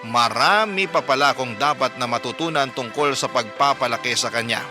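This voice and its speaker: A man's voice: mid-range voice, a little fast. A man narrating a listener's letter as a radio host, in dramatic scripted storytelling. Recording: music clearly behind the voice.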